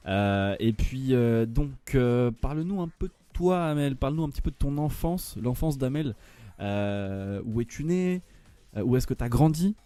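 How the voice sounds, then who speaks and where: Un homme qui anime une émission d'interview, pose ses questions de façon hésitante, avec beaucoup de « euh », et relance son invitée. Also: male voice, mid-range voice, expressive, moderate pace, mellow, smooth, no room sound, faint music behind. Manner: hesitant, many fillers, relaunching questions. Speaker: host. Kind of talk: casual interview hosting.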